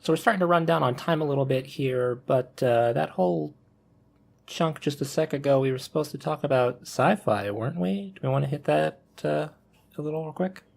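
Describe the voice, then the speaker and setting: A man's voice: a little high, brisk, gravelly, darkish tone. A man hosting a casual podcast discussion, rambling and hedging as he steers the talk back on topic. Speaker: podcast host.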